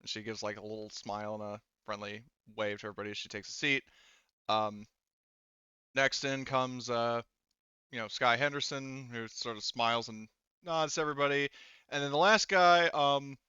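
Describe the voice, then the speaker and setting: A man speaking casually and haltingly as he narrates and runs a tabletop roleplaying game as game master. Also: medium pitch, steady tone, bright, forceful tone, smooth, dry recording, muffled recording. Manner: halting, conversational, casual swearing.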